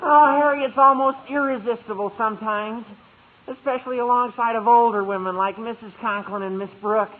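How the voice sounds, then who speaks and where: An actor playing a gawky, eager teenage schoolboy with a squeaky, gushing delivery in a vintage radio sitcom. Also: male voice, fairly even intonation, normal speed. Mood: lovestruck, cheeky. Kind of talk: 1950s radio sitcom acting. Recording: tinny, hissy old radio recording.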